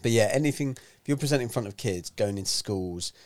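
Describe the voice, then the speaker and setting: A chatty man in his forties, born in Greece, talks about coaching public speaking in an easy podcast conversation. His voice sits fairly low, and he walks through his ideas with worked examples. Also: expressive, quick pace. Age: 40s. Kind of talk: casual podcast chat.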